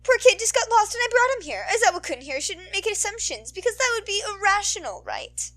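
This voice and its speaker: A woman voicing a brash, teasing female hero with a loud, breezy, theatrical delivery. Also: very high-pitched, big pitch swings, a little fast, voice pushed up, full character voice. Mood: scolding cheer. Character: brash, teasing grown woman pro heroine.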